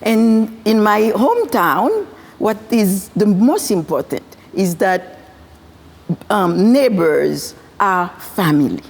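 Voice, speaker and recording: A woman's voice: medium pitch, sing-song, slow pace. Haitian-born woman, reverberant hall. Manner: earnest, repeats phrases in lists. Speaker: guest, physician and farmer. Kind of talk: conversational interview answers.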